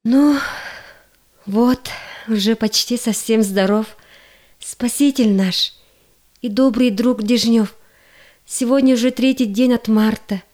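A gentle young maiden in love played by a woman in a verse audio play. Her voice is fairly high, and she delivers her lines slowly and with care. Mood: gentle, grateful. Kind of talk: verse audio drama acting.

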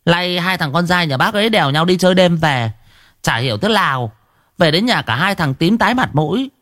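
Guy doing a squeaky busybody village woman, talks fast and eager. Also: expressive, a bit bright, dry recording, studio quality. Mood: eager gossip. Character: nosy gossiping village woman.

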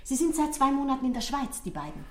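A woman's voice: high-pitched, big pitch swings, fast, darkish tone. A woman playing an obliging, chatty concierge in a radio drama, with an eager, polite, gossipy manner. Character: obliging, chatty female concierge of an apartment house. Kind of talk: German radio drama acting. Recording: roomy, echoing sound.

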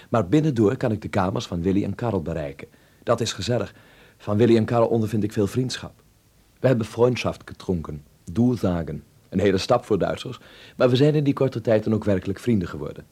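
A man's voice: lowish voice, creaky, mellow, breathy edge, exaggerated voice. An actor playing a genial, curious and easygoing Dutchman abroad in a radio drama. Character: genial, curious Dutchman newly living in Berlin.

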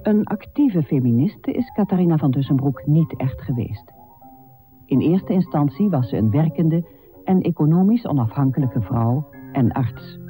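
Very dark-toned, higher-pitched male narrator. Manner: measured, formal. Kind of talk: scripted documentary narration.

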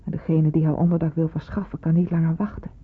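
Thin, muffled old radio sound frames a middle-aged woman as a senior nurse. Her voice is lowish and very husky. She is stern but motherly, with persuasive authority, in a radio drama. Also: flat delivery, fast. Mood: firm, admonishing. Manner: authoritative, admonishing. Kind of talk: Dutch radio play acting.

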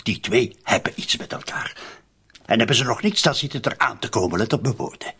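Cynical Flemish murder accomplice, radio drama. Husky voice, very animated delivery, streetwise and pressing. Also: male voice, mid-range voice, brisk, exaggerated voice. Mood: insistent, cynical. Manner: insistent, colloquial, spelling things out.

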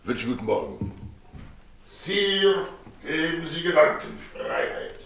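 An actor playing a jovial middle-aged ex-actor innkeeper in a German radio play, declamatory, pompous and playful. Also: male voice, on the high side, wildly swooping pitch, slow pace, creaky, a bit bright, full character voice. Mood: ironic.

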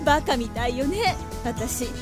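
A woman's voice: sits high, lively intonation, unhurried. A woman playing a proud, jealous, passionate succubus in an audio drama, haughty and emotionally intense. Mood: bitter, hurt. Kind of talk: anime-style audio drama acting. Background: music.

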